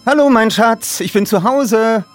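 A man's voice: very high voice, unhurried, faint music behind, pitched above the performer's own voice. A man voicing a guarded, protective mother of about forty in an audiobook reading. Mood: warm. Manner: stern, hesitant, guarded.

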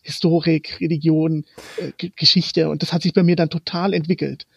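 A young man from Germany whose first language is Romani, a guest in an interview, talking in halting bursts as he hunts for words. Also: fairly high pitch, flat delivery, vocal fry, slightly rough, no room sound. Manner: halting, pausing often to find words. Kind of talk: interview conversation.

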